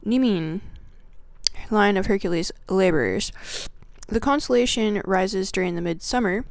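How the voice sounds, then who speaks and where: A woman teaches the energies of the zodiac at a slow, deliberate pace. Her voice carries a gravelly, crackling texture, and she often softens into a whisper as she lists qualities one by one. The sound is close and dry, with no room echo. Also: mid-range voice. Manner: slow, drawn-out delivery with soft whispered phrases; reverent, lists qualities one word at a time. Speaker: astrology teacher. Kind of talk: spiritual teaching video opened with a prayer.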